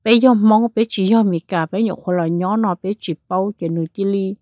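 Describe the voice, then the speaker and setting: Female storyteller, mid pitch, animated delivery, dry close recording. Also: moderate pace, no room sound. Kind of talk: spoken ghost story narration.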